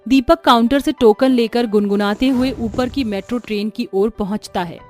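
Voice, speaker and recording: A woman narrating a scripted cartoon horror story in a steady, dramatic storytelling manner. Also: on the high side, normal speed, faint music behind.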